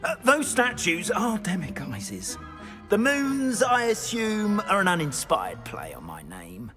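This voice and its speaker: An actor playing a nervous, fussy middle-aged castle caretaker from Korea, apologetic and theatrical, in a video game. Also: male voice, sits high, wildly swooping pitch, slow pace, exaggerated voice. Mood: frightened, indignant. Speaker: school caretaker. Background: game music.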